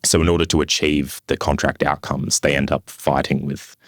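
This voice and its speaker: A man with an extremely deep voice talks as a guest in an interview. His intonation stays quite flat and his explanations are measured and tentative. The sound is dry, with very little room. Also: dry recording. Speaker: podcast guest, construction professional. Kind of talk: conversational interview answers.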